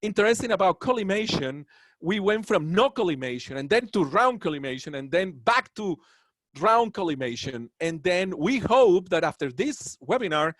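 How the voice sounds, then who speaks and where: A man's voice: high voice, very animated, normal speed, fairly bright tone, no room sound. A man from Colombia with a Colombian Spanish accent giving a webinar lecture on dentistry, earnest and proud, with rambling asides. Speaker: dental professor.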